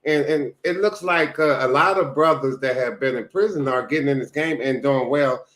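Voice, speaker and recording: Relaxed podcast host in his sixties. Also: mid-range voice, normal speed. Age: 60s. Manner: relaxed, appreciative, conversational. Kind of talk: casual interview. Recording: roomy echo.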